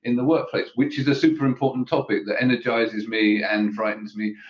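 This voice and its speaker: A man's voice: mid-range voice, flat delivery, brisk, roomy sound, muffled recording. A man co-hosting a discussion show, thoughtful and asking probing questions. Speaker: podcast co-host. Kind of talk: casual discussion.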